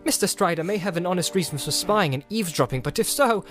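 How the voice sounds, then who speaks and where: A man's voice: high-pitched, expressive, fast, fairly bright tone, soft background music, pitched above the performer's own voice. A man voicing a young male hobbit, wary and indignant, in an audiobook. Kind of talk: audiobook character acting.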